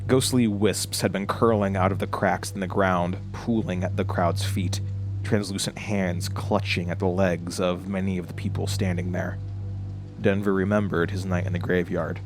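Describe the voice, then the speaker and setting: Casting: male narrator, lowish register, scripted horror audio drama storytelling over a prominent music bed. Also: level tone, moderate pace, low-quality recording.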